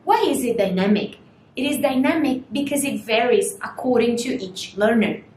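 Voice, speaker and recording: A young woman whose first language is Portuguese gives a recorded university lecture, explaining slowly and putting rhetorical questions to students. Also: lively intonation, darkish tone. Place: small studio room in front of a screen. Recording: echoey room, faint rumble.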